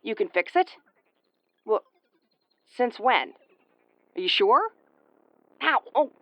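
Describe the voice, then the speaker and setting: A woman's voice: fairly high pitch, sing-song, slow pace, pushed and bright, no echo, full character voice. A woman playing a brash, easily flustered starship commander with a tomboyish, brusque, sweary delivery in an audio roleplay. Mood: irritated. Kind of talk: audio roleplay acting, spoken to the listener.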